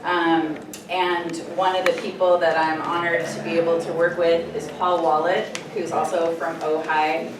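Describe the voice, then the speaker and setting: Low-voiced woman projecting in a hall. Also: level tone, unhurried, hardly any pauses. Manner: projects her voice, earnest and engaging. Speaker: conference presenter, program manager. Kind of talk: conference presentation. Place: conference hall. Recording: echoey hall, distant mic, room noise.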